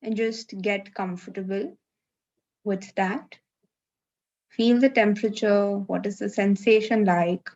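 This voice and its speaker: A woman leading a live guided meditation, giving gentle, unhurried instructions with long pauses, over a video call. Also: medium pitch, steady tone, highs cut off.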